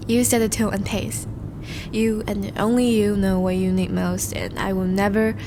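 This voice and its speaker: Over a loud rumble, a woman plays a charming vampire suitor in an intimate audio drama. The speech is slow and formal, with tender, courtly devotion aimed straight at a beloved listener. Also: close to an everyday voice. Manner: formal, courtly, gentle phrasing with soft sighs. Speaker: audio roleplay voice actor. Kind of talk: scripted romantic audio roleplay, addressed to the listener.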